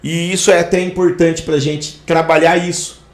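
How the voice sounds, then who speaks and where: A man's voice: fairly high pitch, flat delivery, moderate pace, echoey room. A man hosting a podcast interview, introducing his guest in effusive, inspirational phrasing. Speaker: podcast host.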